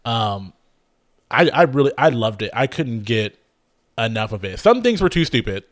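A man co-hosting a casual podcast, reviewing a TV comedy with animated enthusiasm and lots of restarts. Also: mid-range voice, normal speed. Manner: animated, gushing, frequent restarts and swearing. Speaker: podcast co-host. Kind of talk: casual chat reviewing a TV show.

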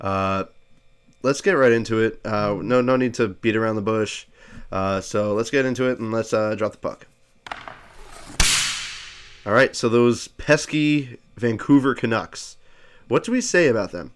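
A man's voice: fairly low pitch, measured pace, long pauses, smooth. A man co-hosting a casual sports podcast, congested and stuffy, rambling with many fillers and restarts. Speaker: podcast co-host.

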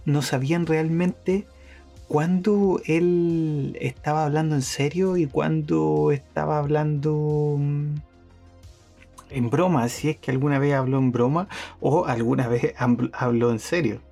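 Slow, gravelly-voiced man musing aloud. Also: a little high, fairly even intonation, warm tone, soft background music. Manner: hesitant, thinks aloud with many fillers and restarts. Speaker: podcast host. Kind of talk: casual reflective monologue.

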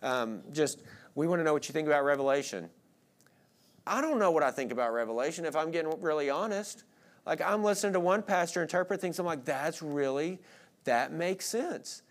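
A man's voice: on the high side, lively intonation, fairly quick. A man, preaching an expository sermon in an informal, self-deprecating way with rhetorical questions to listeners. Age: late 30s. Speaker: pastor preaching.

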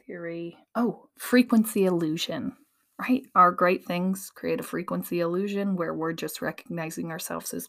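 Young adult woman, podcast host, hoarse and recovering, chatty and self-teasing. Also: medium pitch. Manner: chatty and self-teasing, addressing listeners directly. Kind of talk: casual motivational podcast chat.

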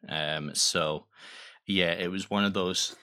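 A man's voice: sits low, steady tone, slow, bright, forceful tone, clean tone. A man, chatting casually as an interview guest and repeating words as he thinks. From United Kingdom. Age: 40s. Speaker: guest, audio drama director.

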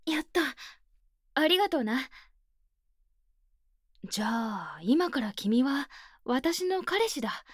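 A woman playing an earnest, bookish high-school club president with stiff, boyish phrasing, speaking softly and close in a Japanese ASMR voice drama. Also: sing-song, takes it slowly, long pauses, no echo, exaggerated voice. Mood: happy, eager. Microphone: close binaural microphone, dry.

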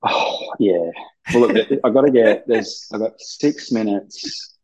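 Laid-back husky low-voiced man. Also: takes it slowly, a little mellow. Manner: laid-back, rambling, swears casually. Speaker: gym founder and ice hockey player, guest. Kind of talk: casual interview chat. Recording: dull lo-fi sound.

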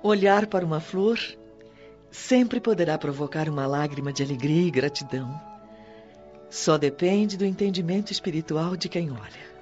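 A woman's voice: low-pitched, lively intonation, moderate pace, a little mellow. Gracious, wise Brazilian lady. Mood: serene. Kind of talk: radio drama acting. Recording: dull radio-drama recording with music.

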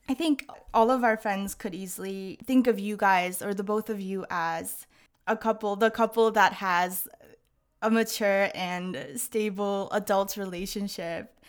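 Clear-voiced young woman interviewer. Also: mid-range voice. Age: 20s. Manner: chatty, laughs often. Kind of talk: casual conversation.

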